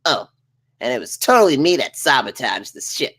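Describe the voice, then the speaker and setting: A woman's voice: extremely low pitch, sing-song, normal speed, a bit bright, heavily acted. Woman acting a spiteful villain with wildly swooping delivery. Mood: spiteful gloating. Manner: taunting, theatrical. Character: spiteful, gloating male villain turned crab-like bug mutant. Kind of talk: live comedy table read.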